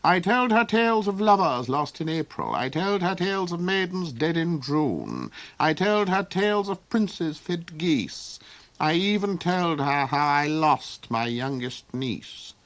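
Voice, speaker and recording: A man's voice: fairly high pitch, expressive, measured pace, fairly bright tone, pitched above the performer's own voice. A man voicing a weary, ragged wandering man with a raised, sing-song delivery. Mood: gloomy.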